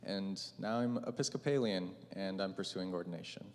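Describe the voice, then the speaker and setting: A man introducing himself in a class, orderly and steady. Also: low-pitched, normal speed. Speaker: class participant, ordination candidate. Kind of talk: casual self-introduction. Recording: long church-hall reverb.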